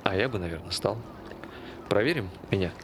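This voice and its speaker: An actor playing a dry, sardonic friend who provokes and teases in laconic, casual stage dialogue. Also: male voice, lowish voice, lively intonation, fairly quick. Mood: curious, provoking. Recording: clear ambient background noise.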